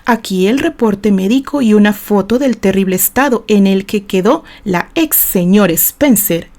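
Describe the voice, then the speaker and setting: A woman's voice: medium pitch, expressive, moderate pace, darkish tone, lightly acted. A woman voicing an assured male defense attorney in an audio novel, arguing formally and emphatically like a courtroom orator. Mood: assured, pointed.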